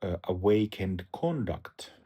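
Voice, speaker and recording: A middle-aged man from Finland with a Finnish accent, talking to camera as a Dharma teacher in a hesitant, halting way with many fillers. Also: deep voice, expressive, warm tone, slightly rough. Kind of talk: casual talk to camera.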